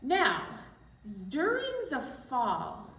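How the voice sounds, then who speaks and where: A woman's voice: high voice, wildly swooping pitch, very slow, creaky, fairly bright tone. An American woman hosting an online storytime, giving preschoolers encouraging instructions and asking them questions. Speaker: children's storytime host. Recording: distant mic in echoey room, faint noise.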